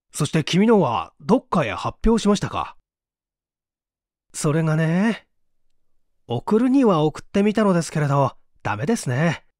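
A man voicing an eager, eccentric young mystery fanatic in polite, excitable audiobook dialogue. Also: sits high, no room sound, clean studio sound. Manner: polite, excitable, animated speech.

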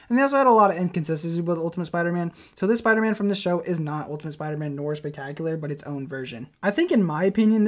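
Lively, fast-talking video commentator. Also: medium pitch. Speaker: video narrator. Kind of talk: explainer commentary.

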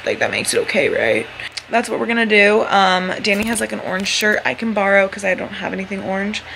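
A young woman with an American accent chatting casually and excitably to a vlog camera held at arm's length. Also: mid-range voice, brisk, gravelly, rough recording. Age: teens to young adult. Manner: bubbly, giggly, exclamatory. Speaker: vlogger, high school student. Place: car, classroom, gym, bedroom. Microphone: handheld camera at arm's length. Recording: handheld vlog audio, chatter and background noise.

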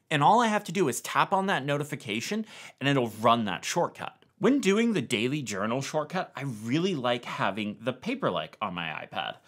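A man's voice: mid-range voice, a bit bright. A man, explaining things step by step in a casual tech tutorial style. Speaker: tech video host. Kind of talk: explanatory tutorial narration to camera.

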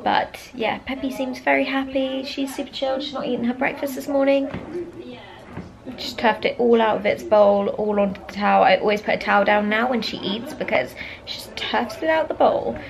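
A woman's voice: fairly high pitch, normal speed, vocal fry, a crowd in the background. A young adult woman, chatting casually and informally to camera as a vlogger. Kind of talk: casual vlog chat to camera.

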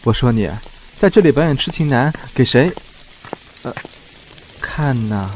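A man's voice: medium pitch, lively intonation, a little slow, a little mellow, a little hiss or hum, close to an everyday voice, low-quality recording. An actor playing a frank, protective young man, a loyal friend, in an audio drama. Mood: wry, dismissive.

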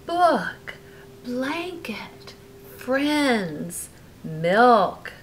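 A woman voicing a gentle, sleepy mother bear in a storybook, speaking in a soft, coaxing way. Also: a little high, very animated, drawn-out words, slight background noise, heavily acted.

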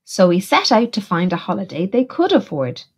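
A woman teacher reading a picture book aloud in an expressive, deliberate way for young children. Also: mid-range voice, slightly rough. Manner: animated, deliberate, puts questions to young listeners. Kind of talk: expressive storybook narration for children.

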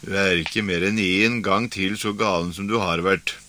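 A man voicing a gruff, scolding peasant husband in a folk tale. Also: sits low, steady tone, pushed and bright.

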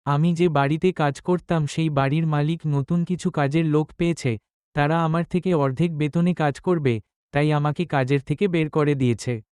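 A man's voice: on the high side, fairly even intonation, moderate pace, a little mellow, clean tone, dry recording, studio quality, natural voice. A man playing a distraught, poor middle-aged village father and labourer in a cartoon story, lamenting his lot. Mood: despairing.

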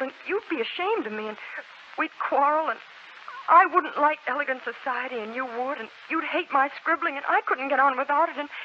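A woman's voice: high voice, lightly acted. An actress in a 1940s American radio drama playing an earnest, headstrong young woman, anguished and tender in an emotional delivery. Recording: muffled, noisy 1940s radio recording.

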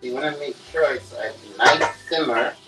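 A man's voice: medium pitch, normal speed, bright, forceful tone, faint music behind. A young man talking casually through a home cooking demonstration, often saying "okay" and "guys" to his viewers. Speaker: cooking vlogger. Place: small home kitchen, indoors. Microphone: camera set on the counter, away from him. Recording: echoey kitchen, voice from a distance.

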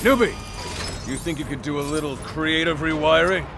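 Casting: man playing a wry teammate, higher voice, quick, music behind. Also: close to an everyday voice. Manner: wry, hurried. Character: quick-talking male hero-turned-villain teammate. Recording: game audio over music.

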